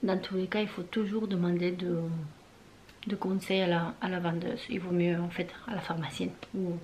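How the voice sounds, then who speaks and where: A young woman talks casually in her bedroom, rambling through loose, trailing sentences full of fillers. She often drifts into a soft near-whisper. Faint room noise sits underneath. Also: fairly low pitch, level tone, moderate pace. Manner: chatty and rambling, many fillers and trailing phrases. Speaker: beauty vlogger. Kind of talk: casual chat to camera.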